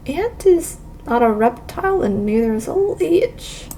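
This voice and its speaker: A woman's voice: high voice, very animated, measured pace, dark tone, a little hiss or hum. A woman doing a casual livestream playthrough, reading game text aloud and adding informal, wry asides. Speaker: streamer playing and reacting to visual novels.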